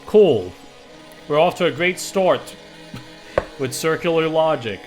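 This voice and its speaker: A man's voice: fairly high pitch, expressive, takes it slowly, darkish tone, poor audio. Offhand, sweary man over game music. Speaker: video game streamer and commentator. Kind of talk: casual let's play commentary, reading game dialogue aloud.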